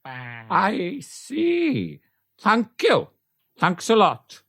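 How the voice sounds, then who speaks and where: Grave, deliberate animal mystic, played by a woman, unhurried and patient, for radio comedy. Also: medium pitch, wildly swooping pitch, fairly bright tone, full character voice. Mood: solemn, measured. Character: solemn, patient mystical sheep healer. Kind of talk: comic radio play acting.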